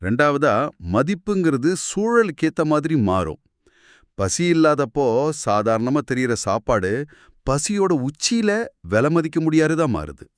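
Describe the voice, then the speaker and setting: A man's voice: on the high side, very animated, unhurried, dry recording, studio quality. A man co-hosting a scripted conversation, inquisitive and reflective as he questions and sums up. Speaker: podcast co-host asking questions and summing up.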